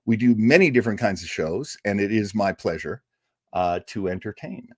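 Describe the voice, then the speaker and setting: A man's voice: lowish voice, lively intonation, moderate pace, no echo, studio quality. A man hosting a live show, chatty and rambling as he reads out viewer comments. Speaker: show host. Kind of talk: casual livestream chat.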